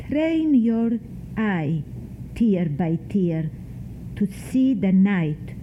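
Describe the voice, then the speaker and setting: An older woman from Poland, reading her own memoir in a measured, deliberate way, lingering on single words. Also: mid-range voice. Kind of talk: slow, measured literary reading. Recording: tinny phone-like sound, faint hiss.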